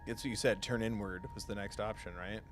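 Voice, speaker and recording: Low-voiced casual man streaming a game. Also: fairly even intonation, a little fast, noisy background. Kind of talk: live let's play, casual chat and reading choices aloud. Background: clear game music.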